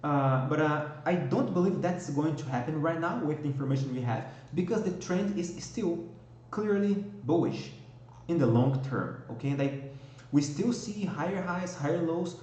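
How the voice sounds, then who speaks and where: A man's voice: on the high side, lively intonation, normal speed, darkish tone. Echoey Brazilian-accented man explaining charts. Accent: Brazilian Portuguese. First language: Portuguese. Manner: explanatory, frequent "okay?" and "all right?" checks. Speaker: stock market analyst. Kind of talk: technical analysis walkthrough.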